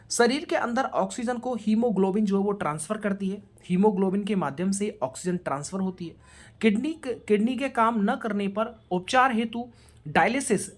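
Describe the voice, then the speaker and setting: A woman giving a question-and-answer revision lecture as a coaching teacher, addressing students directly and mixing in English terms. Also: mid-range voice.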